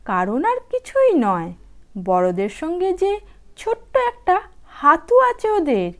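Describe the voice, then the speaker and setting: Slow, high-pitched woman telling a children's story. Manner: animated, unhurried, dramatic phrasing. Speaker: storyteller, audiobook reader. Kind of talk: expressive children's story narration.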